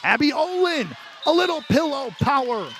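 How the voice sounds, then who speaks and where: Guy doing play-by-play sports commentary, pretty high voice, some arena noise behind him. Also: very animated, moderate pace, raised voice. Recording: noisy sports venue.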